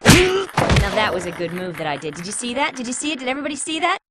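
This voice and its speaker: A woman's voice: on the high side, sing-song, fast talker, fairly bright tone, a little hiss or hum. A woman voicing a spunky young female sidekick who teases and boasts in a video game cutscene. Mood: boastful.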